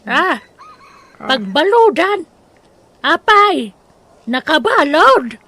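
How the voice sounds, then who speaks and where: A woman's voice: high voice, sing-song, extremely slow, pushed and bright, full character voice. A woman with an Ilocano accent playing a devout, caring grown daughter in a radio drama. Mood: alarmed.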